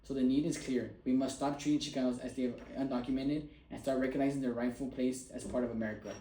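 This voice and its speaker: A man gives a prepared persuasive speech in an earnest, emphatic way. His voice is dark and mellow, with an average pitch. The room around him is roomy and echoey. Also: fairly even intonation, fast, a little hiss or hum. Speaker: student giving a speech.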